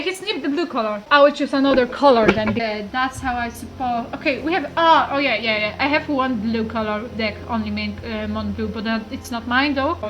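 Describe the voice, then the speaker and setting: A woman's voice: fairly high pitch, fairly bright tone, slight background noise, poor audio. Polish-accented young woman chatting casually. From Poland. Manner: chatty, rambling, full of fillers. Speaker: gaming YouTuber. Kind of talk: casual chat to camera.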